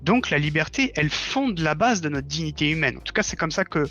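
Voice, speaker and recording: A man's voice: a little high, expressive, brisk, sharp tone, highs cut off, soft background music. A man speaking as a guest in a conversational interview, explaining his views earnestly. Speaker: interview guest, audio fiction writer.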